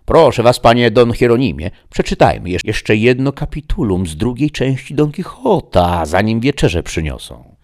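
A man voicing a courteous, eager gentleman bookworm in a storybook, polite and emphatic. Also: mid-range voice, big pitch swings, moderate pace, pitched above the performer's own voice.

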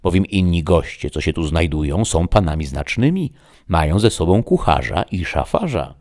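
A man's voice: sits low, sing-song, moderate pace, darkish tone, no echo, studio quality. A man voicing a wily, boastful innkeeper in a storybook, theatrical, exclaiming and wheedling. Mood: reassuring.

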